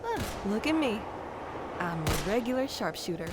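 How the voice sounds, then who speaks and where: Lady acting a modest beginner shooter, slightly high voice, loud gunfire noise. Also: sing-song, moderate pace. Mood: bashful. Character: modest, self-deprecating female trainee shooter. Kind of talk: comic dub voice acting.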